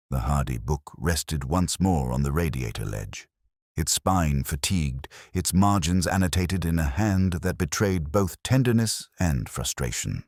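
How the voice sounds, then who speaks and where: A man narrating a scripted first-person detective story in a measured, solemn, literary manner. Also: a bass voice, no echo, clean studio sound.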